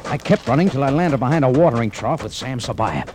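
An actor playing a tough, wry adventurer in an old radio detective drama, with punchy hardboiled delivery. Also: male voice, medium pitch, expressive, quick pace, lightly acted. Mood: urgent, dramatic. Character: tough, wry male adventurer narrating his exploits. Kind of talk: 1940s-50s radio detective drama acting. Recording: slightly noisy old radio recording.

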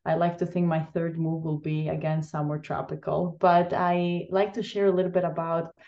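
A woman from Albania who is a guest on a podcast, telling her story thoughtfully with frequent pauses. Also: fairly low pitch, level tone, dull audio. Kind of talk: interview storytelling.

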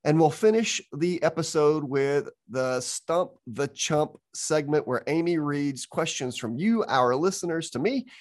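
A man's voice: fairly high pitch, expressive, measured pace, few pauses, no room sound, studio quality. A man hosting an interview podcast, upbeat and enthusiastic. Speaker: podcast host.

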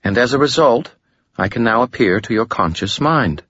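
A poised female demon speaks with formal courtesy and a quiet, amused ease, as a man lifts his voice to play her. The tone sits well above a man's usual range but stays husky. It comes from a dry, slightly dull audiobook recording. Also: medium pitch, expressive, normal speed, no room sound, voice pushed up. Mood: gently amused. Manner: gentle, formal, softly amused.

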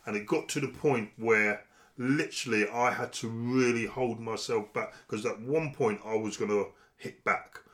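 A man, in a candid, earnest conversation, getting firm and louder when he presses a point. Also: moderate pace. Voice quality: deep voice.

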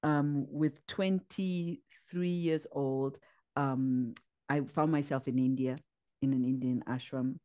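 Woman from Zimbabwe, mellow voice, earnest, halting, podcast guest. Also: very deep voice, steady tone, lo-fi sound. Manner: earnest, searching for words with many fillers and laughs. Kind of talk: casual interview, personal story.

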